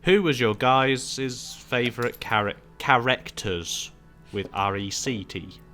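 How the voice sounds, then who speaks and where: Guy hosting a laid back q&a, bright forceful voice, music playing behind him. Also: mid-range voice, slow. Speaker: host of a Q&A discussion. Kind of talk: casual chat.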